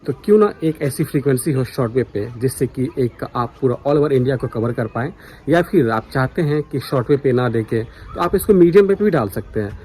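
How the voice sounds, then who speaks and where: Mellow-voiced Indian man vlogging earnestly. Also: mid-range voice, animated, a little fast, slight background noise. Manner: earnest, mixes in English words. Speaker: vlogger, radio enthusiast. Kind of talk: casual vlog talk to viewers. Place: outdoors on a foggy morning.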